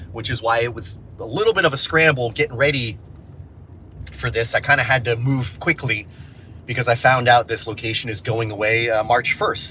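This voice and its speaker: A man with a bright, clear-edged voice chats informally about his production work. He sounds a little sniffly from allergies, and there is faint ambient noise behind him. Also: mid-range voice. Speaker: audio drama director and producer vlogging. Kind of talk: casual behind-the-scenes vlog.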